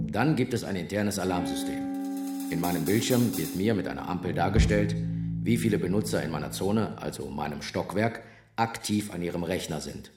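Deep-voiced office man, roomy echo. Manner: sober, procedural. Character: matter-of-fact male government office employee. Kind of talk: radio drama monologue, factual explanation. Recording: roomy echo under loud guitar music.

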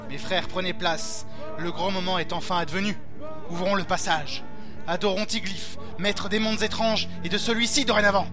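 Fanatical cult-leading prefect for audio drama, male actor: high voice, pompous, mockingly polite, music underneath. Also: fairly even intonation, normal speed, close to an everyday voice. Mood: reverent. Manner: pompous, ceremonial, mockingly courteous. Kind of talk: French fantasy audio drama acting.

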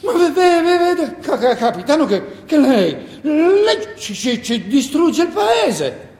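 An actor playing a frightened village miller who stammers and pleads anxiously in a theatrical stage style. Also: male voice, very high voice, sing-song, takes it slowly, darkish tone, pitched above the performer's own voice, exaggerated voice. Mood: tearful desperation. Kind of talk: theatrical stage monologue acting. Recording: echoey hall with long reverb.

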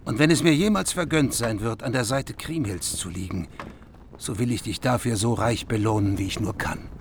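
An actor playing a heathen king longing to woo a widow, in a radio drama, with a creaky voice. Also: medium pitch, moderate pace, noisy background, natural voice. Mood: yearning.

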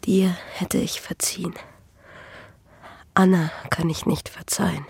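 Radio drama, dying young peasant woman: very husky and breathy with creaky edges, weak, halting, bitter with sorrow. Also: on the low side, steady tone, darkish tone, no echo, heavily acted. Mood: bitter, resigned. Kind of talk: German radio play acting.

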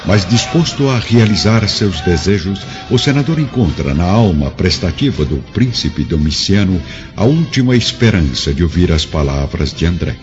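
A man's voice: deep voice, a little mellow. A man narrating a radio drama in a solemn, grandiloquent, dramatic scripted style. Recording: dull lo-fi radio drama with music.

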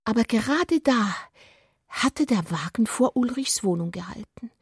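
A woman reading literary narration for a radio drama in a breathy voice that often drops near a whisper. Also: on the high side, lively intonation, unhurried, no echo, clean studio sound.

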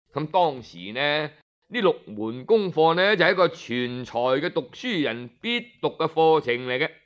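A man tells a tale in Cantonese-accented storyteller style, with a fairly high voice that swings widely in pitch. He takes his time, explaining things conversationally and stretching the particles that close his phrases. The audio is slightly muffled and lo-fi. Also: fairly bright tone. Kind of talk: Cantonese oral storytelling, scripted yet colloquial narration.

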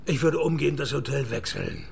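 An actor playing a dry, world-weary private detective in a radio play, deadpan and laconic, with a gravelly voice and a Swiss accent. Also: male voice, mid-range voice, fairly quick, a little hiss or hum. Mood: sardonic. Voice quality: gravelly, husky. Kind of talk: radio play dialogue and first-person narration.

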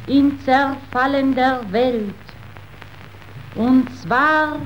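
Solemn preachy mission girl in a radio play, super slow, dull old recording. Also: sits high. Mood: resolute. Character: solemn, fervent young female missionary leader. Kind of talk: declaimed verse radio play acting. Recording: muffled, faintly noisy old radio recording.

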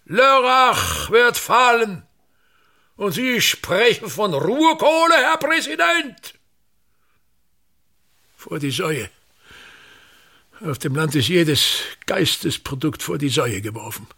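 A man's voice: sits high, big pitch swings, slow, long pauses, sharp tone, slightly rough, exaggerated voice. An older actor in a radio play, playing a pompous, embittered old touring stage actor and tyrannical father who declaims and complains theatrically. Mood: disgusted, ranting.

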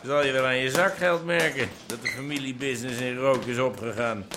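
An actor playing a mocking boxing-gym regular in a radio drama, teasing and sneering. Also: male voice, mid-range voice, brisk, background noise, natural voice. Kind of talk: naturalistic radio drama acting.

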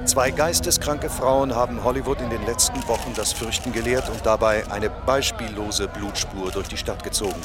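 A man's voice: medium pitch, fairly even intonation, normal speed, few pauses, lightly acted. An actor playing a solemn, theatrical male TV news reporter in a radio drama. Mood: solemn, dramatic.

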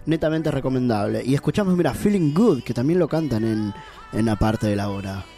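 A man's voice: medium pitch, lively intonation, fairly quick, mellow, faint music behind. A middle-aged man co-hosting a radio show, chatty and playful, laughing often. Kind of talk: casual radio chat.

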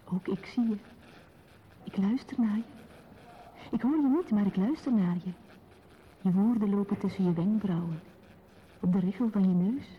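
A reflective woman played by an actress confides with measured, intimate earnestness, as in a literary radio play. Her voice sits at a middling pitch, on a dull recording with faint noise. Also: close to an everyday voice. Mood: earnest, open. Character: reflective, intense woman confiding memories and love.

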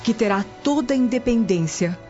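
A woman playing a dignified Roman noblewoman in a radio soap opera, speaking solemnly and deliberately. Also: a little high, animated, lightly acted. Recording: dull lo-fi audio under loud music. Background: loud dramatic music.

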